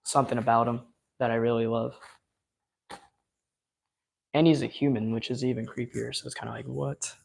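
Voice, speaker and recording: A man chatting loosely through a live unboxing, his voice going hoarse from hours of talk. He rambles along with plenty of ums and uhs in a mid-pitched, everyday tone. His enthusiasm carries through the fillers. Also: steady tone, normal speed, pauses often. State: losing his voice. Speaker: live-stream host. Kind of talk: casual live unboxing chat.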